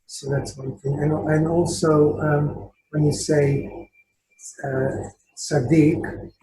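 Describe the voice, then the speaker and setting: A man's voice: a little high, little pitch movement, slow pace, warm tone. A man in a video-call study group, tentative and thinking aloud as he comments. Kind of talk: casual discussion on a video call. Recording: echoey room with background noise.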